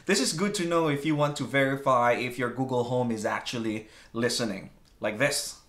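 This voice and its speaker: A man's voice: medium pitch, normal speed, fairly bright tone. Male tech channel host, casual and chatty, upbeat delivery for a how-to video.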